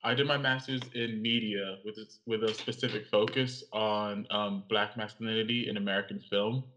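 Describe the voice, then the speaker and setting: A young man from Cameroon, a guest in an interview, speaking in a measured, reflective way with some pauses. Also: lowish voice, fairly even intonation, sharp tone. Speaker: guest, audiovisual artist and writer. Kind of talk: interview conversation. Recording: lo-fi, slightly dull sound.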